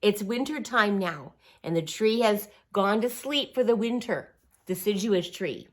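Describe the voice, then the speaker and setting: A woman reading a picture book aloud to young children, with simple, encouraging phrasing, expressive emphasis and little asides and questions. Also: medium pitch, unhurried.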